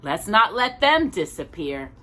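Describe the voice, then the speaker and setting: A woman hosting a storytime, reading a picture book aloud in an expressive, chatty way with casual asides. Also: medium pitch, measured pace. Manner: expressive, chatty, stumbles and corrects herself.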